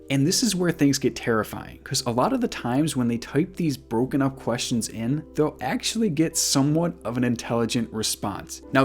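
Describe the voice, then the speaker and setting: A man whose first language is Igbo, hosting a casual vlog in an excitable, swearing, often disbelieving way. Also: medium pitch. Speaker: YouTube host.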